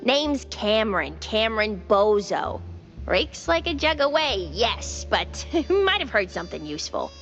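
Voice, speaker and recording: Cheeky streetwise noir cartoon gal, forceful bright tone, very animated delivery. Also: female voice, medium pitch, unhurried, highs cut off, faint music behind. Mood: chummy, teasing. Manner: cheeky, familiar. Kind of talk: noir cartoon game acting.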